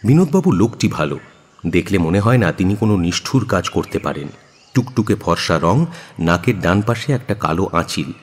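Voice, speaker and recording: Story narrator, male: very low, husky, animated delivery for dramatised fiction, in a reverberant space. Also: normal speed. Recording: long hall-like reverb.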